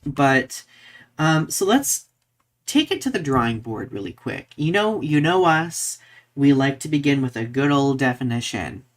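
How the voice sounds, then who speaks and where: A man's voice: medium pitch, big pitch swings, measured pace. A man co-hosting a live podcast in a casual, conversational way, thinking aloud as he explains things. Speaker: podcast co-host.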